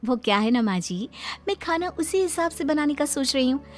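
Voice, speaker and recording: A put-upon young daughter-in-law from a cartoon tale, as acted by a woman. She questions and pleads respectfully in a high, lively voice, quietly exasperated, over faint music. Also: moderate pace. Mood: questioning, pleading.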